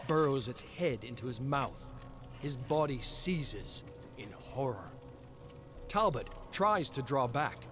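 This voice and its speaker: A man narrating a horror game story in a grim, scripted style. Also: mid-range voice.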